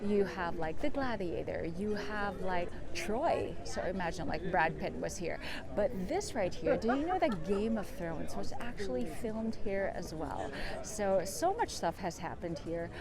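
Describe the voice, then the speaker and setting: A woman's voice: medium pitch, animated, a little slow, over a noisy crowd. Chatty woman guiding a walking tour. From Philippines. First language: Tagalog. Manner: chatty, loose, with many fillers like "like" and "actually"; walking while talking. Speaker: tour guide. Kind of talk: casual walking-tour vlog narration. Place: outdoors in a city's streets and harbour lookout. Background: background music.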